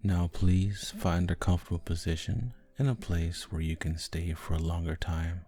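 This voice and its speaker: A man guiding a sleep hypnosis in a soft, calming, gentle voice with long pauses between short phrases. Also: sits low, breathy edge. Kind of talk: guided sleep hypnosis, soothing narration. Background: background music.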